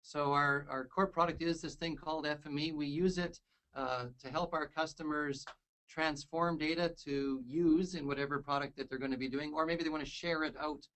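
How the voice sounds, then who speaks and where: A man hosting a software webinar, upbeat and joking, enthusiastic as he talks with a colleague. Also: on the high side, fairly even intonation, moderate pace. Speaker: webinar host. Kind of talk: casual webinar chat.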